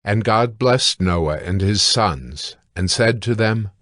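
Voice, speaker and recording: Slow, measured male scripture narrator. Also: fairly low pitch, lively intonation, a bit bright, dry recording, studio quality. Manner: measured, sober.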